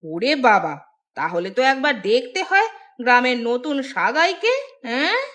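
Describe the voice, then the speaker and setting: A village housewife from a cartoon. Her voice sits a little high. She speaks expressively and dramatically, like someone used to teasing and speaking her mind. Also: sing-song, moderate pace, fairly bright tone. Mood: curious, chatty. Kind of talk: Bengali cartoon voice acting.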